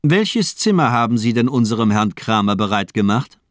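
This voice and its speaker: A man's voice: on the low side, sing-song, moderate pace, no room sound, studio quality. A man playing a coolly mocking, menacing doctor in a German radio drama, speaking with polite, articulate condescension. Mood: brisk, jovial. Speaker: actor in a crime radio play.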